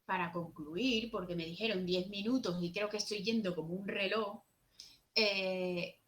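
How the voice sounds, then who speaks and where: Young woman, Jerez Andalusian accent, mid-pitched, earnest seminar speaker who leans on key words. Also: a little slow, fairly bright tone. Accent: Andalusian Spanish, from Jerez. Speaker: speaker at a university social work seminar. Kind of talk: conference talk, partly read.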